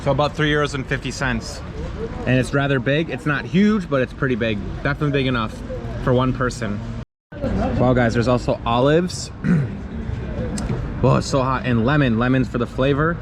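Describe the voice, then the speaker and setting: A man's voice: medium pitch, moderate pace, noisy background. A man from the United States, a travel vlogger talking to his viewers and chatting with locals. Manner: enthusiastic, addresses viewers as "you guys". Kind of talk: travel vlog narration and chat. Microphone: handheld camera. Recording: music played under the voice. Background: background music.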